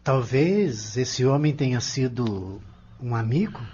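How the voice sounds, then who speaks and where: A man's voice: mid-range voice, animated, slow pace. A man playing an earnest, polite teenage boy in an audio soap, speaking hesitantly and respectfully. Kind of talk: audio soap opera acting. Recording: muffled recording over background music.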